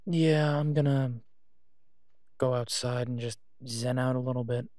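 A man's voice: mid-range voice, fairly even intonation, unhurried, a little mellow, no echo, natural voice. Man playing a weary, reassuring werewolf boyfriend, close dry recording. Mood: reassuring, casual. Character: tired, devoted male werewolf, protective and on edge. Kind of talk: intimate roleplay audio drama, one side of a phone call.

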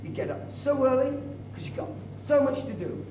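A man's voice: extremely high pitch, expressive, normal speed, muffled recording. An actor playing an idealistic young man who earnestly lectures his father. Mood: preachy. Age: young adult. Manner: earnest, preachy. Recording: distant, echoey, noisy.

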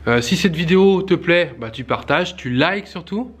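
Man filming his renovation work, halting. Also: a little high, lively intonation, slightly rough. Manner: hesitant, many fillers and restarts, explaining as he films. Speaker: renovation vlogger. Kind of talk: casual walkthrough narration. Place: stone-walled basement under renovation, indoors. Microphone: handheld camera, close to face.

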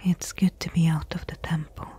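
A woman playing a bold, companionable desert treasure hunter in a soft-spoken ASMR roleplay, hushed and coaxing in a breathy whisper. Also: low voice, little pitch movement, normal speed. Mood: relieved.

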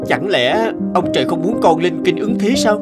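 An actor playing a poor, earnest young male scholar in an animated fairy tale, kind-hearted and plaintive. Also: medium pitch, normal speed, loud music behind the voice, close to an everyday voice. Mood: despairing.

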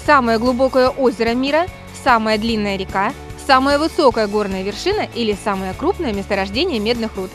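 A woman's voice: fairly high pitch, lively intonation, a little fast, few pauses, fairly bright tone. Upbeat female street reporter, music underneath. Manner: upbeat, quizzing passers-by. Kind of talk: street vox-pop interviewing. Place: city street outdoors. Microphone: handheld mic.

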